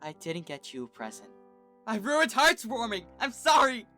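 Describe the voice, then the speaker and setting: Man voicing a little filly, scared and teary, stammering in a squeaky high voice. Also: big pitch swings, normal speed, pushed and bright, faint music behind, full character voice. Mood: tearful. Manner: tearful, stammering. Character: small frightened young filly pony.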